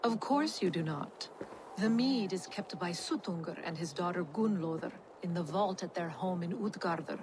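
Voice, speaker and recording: A woman's voice: lowish voice, unhurried, noisy background, lightly acted. A woman playing a shrewd, self-serving schemer in a video game, blunt and mocking as she bargains. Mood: scornful, businesslike. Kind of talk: video game cutscene dramatic acting.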